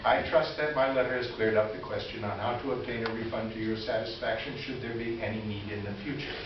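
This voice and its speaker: A man's voice: mid-range voice, fairly even intonation, fast talker, close to an everyday voice. Very echoey noisy hall, man playing condescending store manager, officious, formal stage letter reading. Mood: smugly formal. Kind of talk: reader's theater, dramatic letter reading. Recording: echoey hall, distant mic, dull, noisy.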